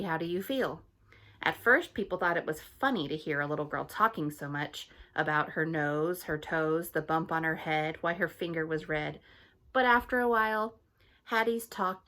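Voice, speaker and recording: A teacher reading a picture book aloud to her students in a gentle, friendly storytelling manner. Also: deep voice, normal speed.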